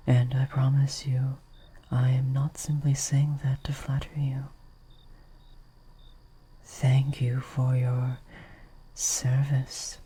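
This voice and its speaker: A woman voicing a gentle but possessive vampire lady in an intimate roleplay, soft-spoken and often whispering, with formal, old-fashioned, coaxing phrasing. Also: rumbling low, breathy edge, heavily acted. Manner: formal, archaic, slow, coaxing.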